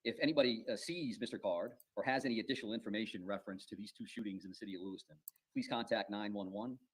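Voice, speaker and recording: A man speaking as a public safety official, giving a formal press briefing in measured officialese. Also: fairly low pitch, husky, poor audio.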